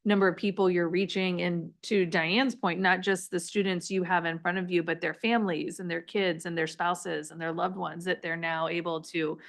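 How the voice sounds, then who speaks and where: A woman's voice: on the low side, little pitch movement, moderate pace, creaky, few pauses, a bit bright. A woman school board member at a meeting, speaking earnestly and appreciatively, with some restarts.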